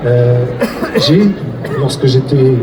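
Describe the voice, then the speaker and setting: A man moderating a public event and speaking sincerely and deliberately to the audience. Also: medium pitch, a crowd in the background. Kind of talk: public address. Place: event hall. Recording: echoey room, distant mic, loud noise.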